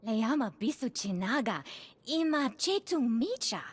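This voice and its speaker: A woman playing an alien in a video game, speaking an invented tongue with a husky voice. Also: a little high, big pitch swings, measured pace, exaggerated voice. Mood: animated. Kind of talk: video game alien dialogue in gibberish language.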